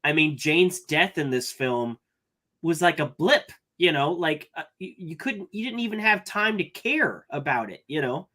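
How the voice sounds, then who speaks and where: Slightly high-voiced man picking a film apart. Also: lively intonation, unhurried, pushed and bright. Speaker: podcast co-host. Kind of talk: casual chat.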